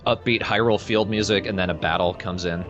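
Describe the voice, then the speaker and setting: A man with a low voice talks through a video game as host. His delivery is dry, casual and sweary, aimed at a co-host as much as the viewers. Game music plays behind him. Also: fairly even intonation, a little fast, highs cut off. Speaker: let's play host. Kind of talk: casual gameplay commentary with a co-host. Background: video game soundtrack.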